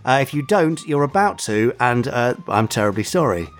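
A man's voice: mid-range voice, sing-song, moderate pace. A man hosting a podcast, telling trivia in a chatty, playful, bantering way. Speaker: podcast host. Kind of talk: chatty trivia segment, semi-scripted storytelling.

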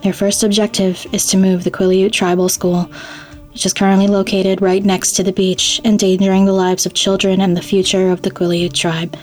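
Podcast host lady reading scripted credits, pretty flat delivery, soft music under it. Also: medium pitch, normal speed. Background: faint music.

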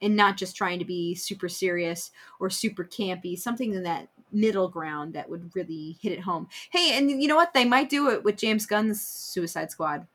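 A woman's voice: fairly low pitch, moderate pace, hardly any pauses, fairly bright tone. A woman co-hosting a casual pop-culture podcast, talking in an informal, opinionated and enthusiastic way. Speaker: podcast co-host.

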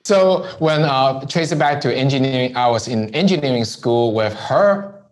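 A man's voice: mid-range voice, moderate pace. A man who grew up in Hong Kong, a guest in an interview, telling his story casually and often checking in with "right?". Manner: relaxed storytelling with frequent "right?" tags. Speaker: entrepreneur guest. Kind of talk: conversational interview.

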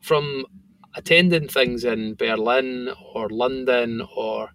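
A man with a thick Scottish accent talks in loose, rambling podcast chat, full of "like" and restarts. His voice is gravelly and bright, with an edge of tension. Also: mid-range voice, fairly even intonation, slow pace, no room sound, rough recording. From Scotland. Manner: rambling, filler-heavy storytelling. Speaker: company founder and guest.

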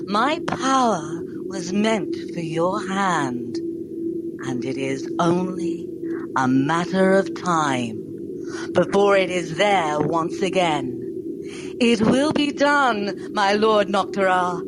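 An actor playing a solemn, devoted servant of a dark lord in an audio drama, reverent and ominous, over heavy noise. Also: medium pitch, animated, slow pace, over loud music.

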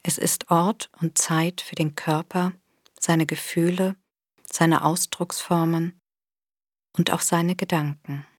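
A woman with a soft, breathy voice gently guiding a body scan meditation in a soothing manner. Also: on the low side, flat delivery, no room sound, clean studio sound. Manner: gentle, soothing, unhurried instruction with long pauses. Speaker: meditation guide. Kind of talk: guided body scan meditation, scripted narration.

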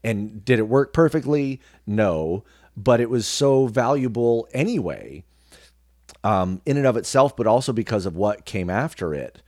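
Casting: male podcast guest, fairly low voice, informal reflective storyteller, dry close sound. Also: expressive, unhurried, darkish tone, no room sound. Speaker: interview guest, radio personality and actor. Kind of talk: casual conversational storytelling.

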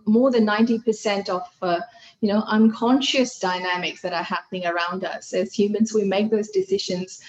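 A woman's voice: mid-range voice, dull audio. A middle-aged woman from Fiji, whose first language is Hindi, chatting animatedly as a podcast guest with many fillers and restarts. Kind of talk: casual interview chat.

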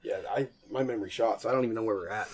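A man's voice: fairly low pitch, very fast, a loud crowd. A man co-hosting a podcast in casual, joking banter with friends, swearing freely. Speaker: podcast co-host.

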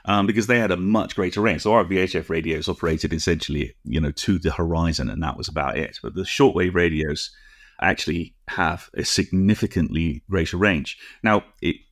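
Male podcast co-host, low register, dry recording, conversational technical explainer, precise and digressive. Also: brisk, no echo.

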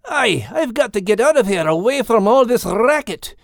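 A man voicing a brisk, fed-up male animal in a storybook, with a Scottish accent and a grumbling manner. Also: extremely high pitch, big pitch swings, creaky, dry recording, studio quality, voice pushed up, heavily acted.

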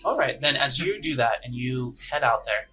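A man's voice: mid-range voice, animated, normal speed, bright, forceful tone, slight background noise. A man narrating a tabletop role-playing game as game master in a conversational, descriptive, improvised way.

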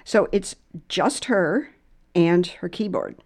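A woman hosting a reflective music conversation, speaking thoughtfully and haltingly, often repeating words and phrases as she goes. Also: on the low side, expressive. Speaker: podcast host.

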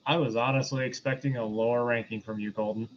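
A man's voice: mid-range voice, steady tone, fast, lo-fi sound, slight background noise. A man giving his opinions as a panelist in a casual film review chat. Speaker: podcast panelist.

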